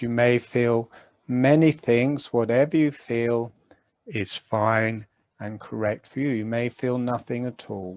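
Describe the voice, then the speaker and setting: A man leads a breathing meditation in a mid-pitched voice, speaking very slowly. His instructions are calm and gentle, broken by long pauses. The sound is dry and close, with no room around it. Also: dry recording. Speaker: meditation guide.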